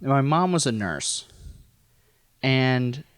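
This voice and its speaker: A man's voice: mid-range voice, animated, drawn-out words, dry recording, studio quality. An American man from rural Missouri telling a personal story casually as a podcast guest, in a wry, self-deprecating, profane way. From rural Missouri, United States.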